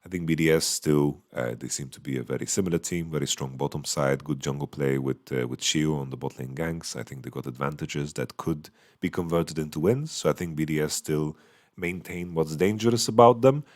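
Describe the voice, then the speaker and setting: A man with a slightly hoarse voice, casually giving a solo esports recap from a small hotel room into a microphone, thinking aloud with many fillers. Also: very deep voice, fairly quick. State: voice going a bit hoarse. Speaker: esports analyst. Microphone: studio microphone.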